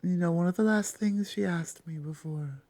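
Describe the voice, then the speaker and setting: A woman playing a stern female minotaur guard captain with a soft heart in an ASMR roleplay, speaking deliberately with weighty pauses. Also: lowish voice, dry recording. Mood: solemn, reproachful. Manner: deliberate, pausing, commanding. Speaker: audio roleplay performer. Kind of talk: ASMR roleplay acting, addressing the listener.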